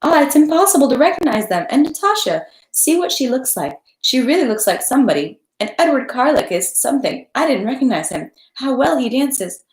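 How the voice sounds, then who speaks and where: Woman putting on a merry older lady, raised pitch, exclamatory, fussy, bustling, storybook character, roomy echo. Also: sing-song, fairly quick, few pauses. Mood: delighted, amused. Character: merry, fussy older widowed hostess, energetic and kindly.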